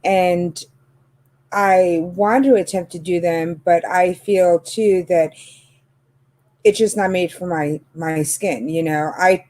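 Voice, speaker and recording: A woman's voice: lowish voice, steady tone, takes it slowly, mellow. A woman of fifty hosting a casual chat about makeup, talking with many fillers and laughs. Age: 50s. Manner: chatty, lots of fillers and laughs. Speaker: channel host.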